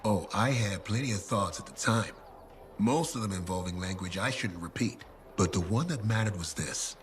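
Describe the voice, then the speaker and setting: Man playing a low-voiced showy game merchant. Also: moderate pace, a little hiss or hum, lightly acted. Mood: chatty, showy. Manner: chatty, theatrical, salesmanlike. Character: showy, self-deprecating male merchant, theatrical salesman. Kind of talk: video game dialogue acting.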